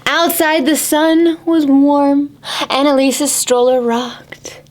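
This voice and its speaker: A woman hosting a children's storytime, reading aloud in an animated, exaggerated way and putting questions to young listeners. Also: high voice, takes it slowly. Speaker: children's librarian, storytime host. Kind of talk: children's picture-book read-aloud and singalong.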